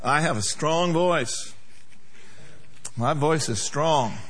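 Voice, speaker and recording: A man's voice: on the high side, slow, a bit bright. A male pastor preaching a sermon to a congregation, emphatic, with call-and-response.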